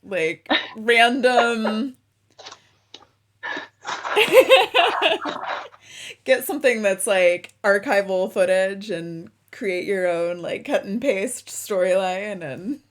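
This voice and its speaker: A woman hosts a relaxed interview at an unhurried, slow pace. She keeps her reactions brief and laughs often. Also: medium pitch, slight background noise. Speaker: interview host. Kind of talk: casual interview chat.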